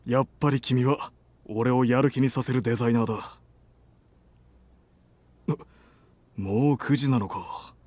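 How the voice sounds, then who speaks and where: A man in his thirties playing a suave, assertive company president and boyfriend in an intimate romance audio drama, soft and breathy, gentle yet commanding. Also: mid-range voice, moderate pace, no echo, close to an everyday voice. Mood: relaxed, affectionate. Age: 30s.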